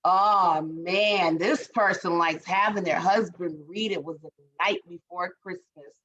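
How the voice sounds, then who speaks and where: A woman's voice: on the low side, fairly even intonation, a little slow, fairly bright tone, muffled recording. A woman from Barbados, leading an online group workshop, chatty and encouraging as she reads the chat aloud. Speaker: workshop facilitator. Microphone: video call.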